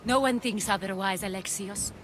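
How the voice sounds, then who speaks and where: A woman's voice: mid-range voice, moderate pace, background noise, close to an everyday voice. An actress playing a composed, dignified and shrewd Athenian noblewoman in a video game cutscene. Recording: loud music behind.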